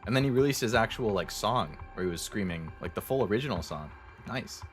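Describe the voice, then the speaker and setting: Young male streamer, very low voice, sore throat, easygoing rambling chat, soft music behind. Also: brisk. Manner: rambling, relaxed, many fillers. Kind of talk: casual chat with viewers.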